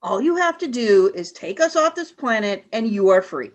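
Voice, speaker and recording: A cold, commanding alien leader, played by a woman in a radio play. She gives stern, clipped orders, and her pitch rises and falls in a lively way. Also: normal speed.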